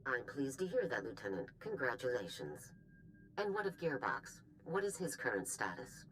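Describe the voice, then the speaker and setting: Very deep-voiced commanding general. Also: female voice, sing-song, moderate pace, a little hiss or hum, poor audio. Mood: brisk, official. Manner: clipped, authoritative. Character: commanding, businesslike female military general. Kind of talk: video game voice acting.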